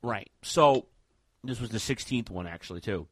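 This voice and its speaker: A man co-hosting a casual wrestling podcast, talking in a rambling, animated way with many restarts. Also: fairly low pitch, normal speed, breathy edge. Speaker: podcast co-host.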